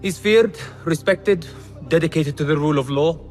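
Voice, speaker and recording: A man's voice: on the high side, moderate pace, natural voice. An actor playing a greedy, self-important male court official in a video game, haughty and sly. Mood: wheedling, curt. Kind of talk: cinematic video game acting. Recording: music behind the voice. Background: music.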